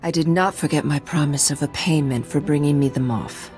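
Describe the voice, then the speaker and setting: An actress voicing a proud, vengeful clan warrior in a video game, speaking in a formal, solemn and intense way. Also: female voice, low voice, a little fast, music in the background, lightly acted. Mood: solemn, resolved. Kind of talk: dramatic video game acting.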